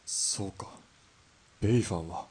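A man playing a calm, loyal-hearted hired killer in a 1920s Japanese radio drama, speaking quietly in terse, composed lines. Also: low-pitched, unhurried, breathy edge. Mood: resolute.

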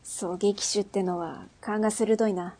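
An actress playing a tough, brusque female underworld boss and mother in a radio drama, speaking in a rough, mannish, commanding way. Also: mid-range voice, steady tone, measured pace, warm tone, natural voice. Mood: guarded, regretful. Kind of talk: Japanese radio drama acting.

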